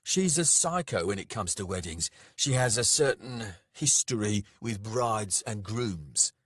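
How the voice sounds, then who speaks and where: A man voicing a big, blunt, gruff male pit fighter in a story, provocative and mumbling. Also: on the low side, lively intonation, measured pace, fairly bright tone, no echo, clean studio sound, exaggerated voice.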